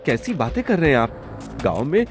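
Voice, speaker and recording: A man's voice: on the high side, huge pitch swings, brisk, over music. A man voicing a studious, trusting young village son who speaks politely and earnestly in a cartoon story. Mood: doubtful.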